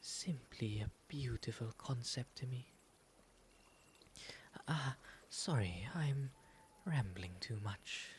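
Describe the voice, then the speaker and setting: A man voicing a gentle, refined owl demon in an ASMR roleplay, with soft, breathy, soothing, formally polite speech. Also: medium pitch. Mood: tender, soothing. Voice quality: soft, breathy, often whispery. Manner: soothing, genteel, unhurried formal phrasing. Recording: noticeable ambient background noise.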